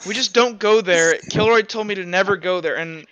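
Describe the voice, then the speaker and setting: A man voicing a haunted, defensive young woman in a tabletop game, halting and self-justifying. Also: high voice, a bit bright, smooth, highs cut off. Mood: guarded. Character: haunted, defensive young woman who enthralled her best friend.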